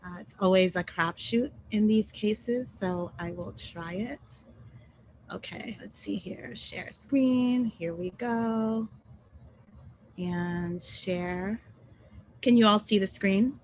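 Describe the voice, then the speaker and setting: A woman's voice: mid-range voice, takes it slowly, a little hiss or hum, rough recording. Woman speaking English as a second language, conversational. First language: French. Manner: conversational, many ums and self-corrections. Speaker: panel guest speaker. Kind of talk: online webinar presentation. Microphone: video call.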